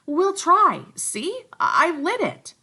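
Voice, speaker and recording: A woman voicing a shrill, anxious schoolboy while reading a novel aloud. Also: slow, exaggerated voice. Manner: shrill, pleading, excited.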